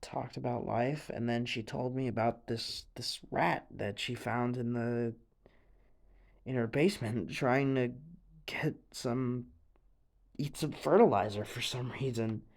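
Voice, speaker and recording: Man playing a halting, creaky-voiced anxious teenage boy. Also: medium pitch, steady tone. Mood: nervous, confiding. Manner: halting, stammering, sighing, nervous breathy laughs. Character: anxious, haunted teenage boy confessing over radio. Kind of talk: dramatic monologue, audio roleplay.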